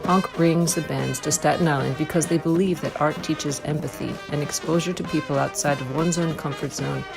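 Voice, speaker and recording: Low, gravelly woman narrator, earnest documentary read, music bed behind. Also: steady tone, normal speed, few pauses, low-quality recording. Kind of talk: scripted narration. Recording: voice-over with loud music behind.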